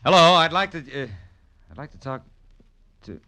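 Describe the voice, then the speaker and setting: A man's voice: a little high, moderate pace, pushed and bright. An actor playing a tough, wisecracking male private detective with a clipped, sardonic delivery in old radio drama style. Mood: urgent. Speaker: lead actor and first-person narrator. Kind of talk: hard-boiled 1940s-50s radio detective acting. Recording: vintage radio drama with music.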